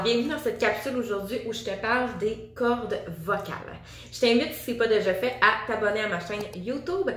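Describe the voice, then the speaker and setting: A woman's voice: on the high side, fairly bright tone, roomy sound. A woman with a Quebec French accent, a singing teacher and vocal coach, giving a chatty, upbeat video lesson straight to the viewer. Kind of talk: casual video lesson to camera.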